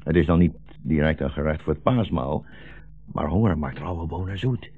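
An actor playing a weary, gentle old blind rabbi in a 1960s radio play, speaking in a solemn, wry, rhetorical way. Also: male voice, very deep voice, lively intonation, normal speed, slightly rough, full character voice. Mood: dryly ironic. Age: older. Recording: dull 1960s radio recording, music behind.

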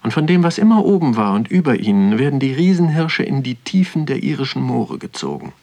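A contemplative man tells his story in a literary radio drama. His voice sits at an ordinary male pitch, and he delivers the poetic text with measured care. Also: big pitch swings, dark tone. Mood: brooding, poetic. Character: pensive male first-person narrator strolling through a natural history museum.

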